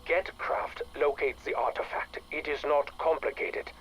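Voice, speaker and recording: An actor playing a cold, imperious spymaster director in a game audio log, giving orders in a clipped, formal manner. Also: male voice, fairly high pitch, fairly even intonation, measured pace, a bit bright, a little hiss or hum. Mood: cold, impatient. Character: cold, imperious male director of covert operations.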